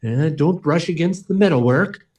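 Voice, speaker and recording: A man's voice: on the high side, moderate pace, darkish tone, slight background noise, natural voice. A man acting an earnest, principled scientist-inventor in an old-time radio play, speaking in a formal, emphatic, theatrical way. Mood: earnest conviction.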